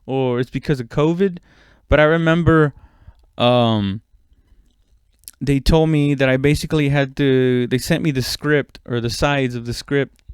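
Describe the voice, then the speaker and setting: A man's voice: mid-range voice, unhurried, clear, resonant tone, no echo, clean studio sound. A man telling casual, comedic stories as a podcast host, rambling along in slangy, profane talk.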